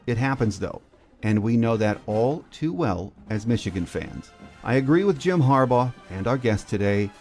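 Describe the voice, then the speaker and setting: An American man hosts a sports talk podcast. His voice sits at an average male pitch, and he delivers his lines as a show host addressing listeners and guests. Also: lively intonation, moderate pace, darkish tone, faint music behind. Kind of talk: sports talk, scripted intro and interview.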